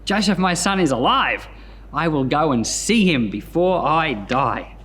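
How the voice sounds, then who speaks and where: A man reading scripture and commenting on it in an expressive storytelling manner, as a pastor hosting a Bible podcast. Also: fairly high pitch, a little slow, breathy edge.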